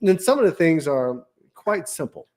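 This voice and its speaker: This is a man in his fifties with a drawl who co-hosts a podcast. He talks in an easygoing, joking way and stretches his stories out. His voice sits a touch high for a man. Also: very animated, a little mellow. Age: 50s. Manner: easygoing, joking, drawn-out storytelling. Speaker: podcast co-host. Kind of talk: casual chat.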